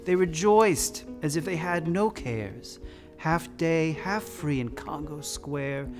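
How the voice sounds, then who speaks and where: A man's voice: on the high side, slow pace, over music. A man who performs as a drag queen storyteller, reading and chatting in a dramatic, effusive, campy way. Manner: effusive, campy, often calls listeners "darlings"; dramatic, expressive delivery. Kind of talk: theatrical read-aloud of picture books with chatty asides.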